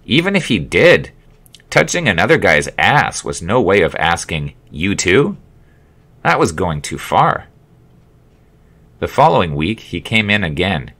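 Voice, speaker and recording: A man narrating his own memoir as an audiobook, with expressive, wry storytelling. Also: low-pitched, measured pace, pauses often. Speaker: author reading own memoir.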